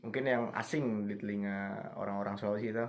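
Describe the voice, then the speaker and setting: Young guy talking fast on campus radio, lowish voice, relaxed, faint hiss. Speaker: student guest. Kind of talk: radio talk show interview.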